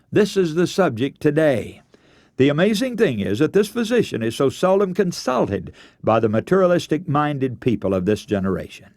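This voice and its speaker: Animated male radio preacher. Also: medium pitch, no room sound, studio quality. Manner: earnest, emphatic storytelling with rhetorical questions. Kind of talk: radio sermon.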